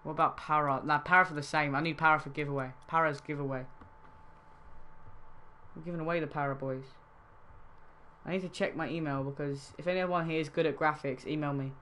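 A man's voice: fairly high pitch, fairly even intonation, brisk, long pauses, bright, forceful tone, a little hiss or hum. A young man from Wales streaming a game trading session, chatting casually and reacting to his live chat.

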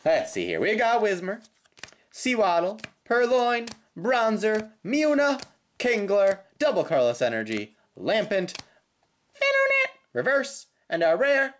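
A woman with a slightly raspy voice doing casual, playful unboxing commentary with sing-song call-outs as a host. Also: mid-range voice, wildly swooping pitch, measured pace, slight background noise. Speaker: host of trading card pack openings. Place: indoors at a tabletop.

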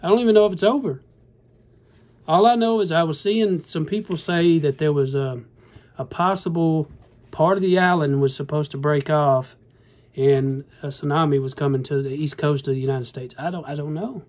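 Man in his thirties, slightly high voice, rambling folksy phone-camera vlogger. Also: normal speed, a little mellow. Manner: rambling, earnest, folksy, addresses viewers as y'all. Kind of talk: casual talk to camera. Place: indoor bedroom. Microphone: phone camera at arm's length.

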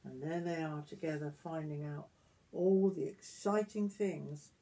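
A woman in her sixties reads a picture book aloud as a granny would to young children, adding gentle questions and asides to them. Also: low voice, unhurried, poor audio. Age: 60s. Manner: gentle questioning, addressing young listeners directly. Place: small wood-panelled garden room, indoors.

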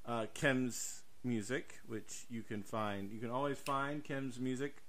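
A man's voice: mid-range voice, fairly even intonation, measured pace, a bit bright. A man co-hosting a podcast, chatting casually about horror films with showy emphasis. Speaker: podcast co-host. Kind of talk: casual horror film chat.

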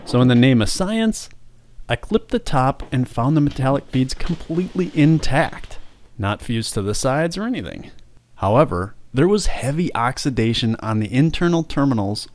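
A man's voice: mid-range voice, darkish tone, dry recording. Man narrating a DIY repair tutorial. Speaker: video host.

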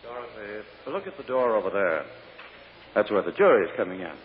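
An actor playing a controlling prosecutor who talks down to a child, smooth and insistent, in a radio drama. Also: male voice, fairly low pitch, sing-song, a little fast. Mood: coaxing. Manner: patronizing, insistent. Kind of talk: 1950s radio drama acting. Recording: dull noisy old radio recording.

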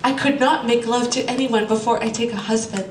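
A woman's voice: a little high, steady tone, brisk, natural voice. A woman voicing an earnest, naive female spiritual apprentice, melodramatic and pleading. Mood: coy protest. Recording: distant echoey room mic, loud background noise.

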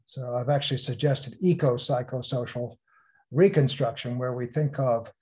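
Mellow-voiced male panel moderator. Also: medium pitch, steady tone. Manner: halting, restarts sentences often. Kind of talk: hosting an online panel session.

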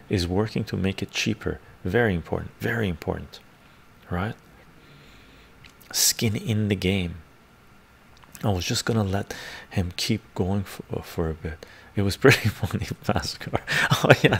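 A middle-aged man with a Canadian accent hosting a livestream, chatting casually in ASMR style in an unhurried, rambling way with frequent "right?" tags. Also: lowish voice, vocal fry. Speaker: livestream host. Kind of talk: casual ASMR chat, answering viewers. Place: small book-lined room at home.

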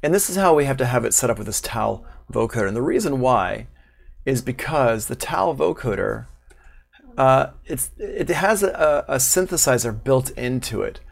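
A man in his thirties giving a casual, unscripted software tutorial as an instructor, thinking aloud as he goes. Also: medium pitch, lively intonation, normal speed, creaky. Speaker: online music production instructor. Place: small room at home, indoors, livestream.

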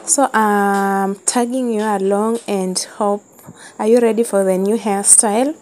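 A woman's voice: mid-range voice, steady tone. A young woman from Kenya talking to viewers in a casual vlog, filmed outdoors on a phone held at arm's length. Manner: slow, friendly, talks straight to viewers. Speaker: vlogger. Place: outdoors in a yard beside buildings. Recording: noisy outdoor phone recording. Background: loud noise from a nearby school.

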